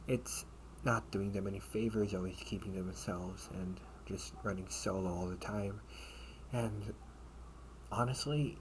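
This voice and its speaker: A man playing a protective, sardonic older brother in an amateur audio roleplay, speaking in slangy, halting phrases with casual menace. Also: on the low side. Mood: earnest, coaxing. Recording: noisy amateur home recording.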